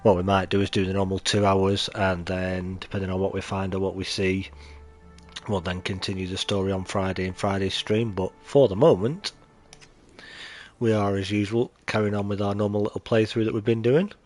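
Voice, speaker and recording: A man's voice: sits low, level tone, moderate pace, a little mellow. A British man streaming a game live, chatty and greeting his viewers, sounding nasal and chesty from allergies. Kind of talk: live stream gameplay commentary.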